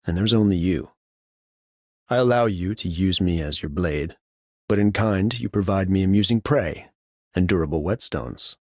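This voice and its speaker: An actor playing a menacing, predatory swordsman in a game, speaking in a cold, deliberate, threatening way. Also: sits low, darkish tone, no echo, clean studio sound. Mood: cold menace. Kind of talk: scripted game character monologue.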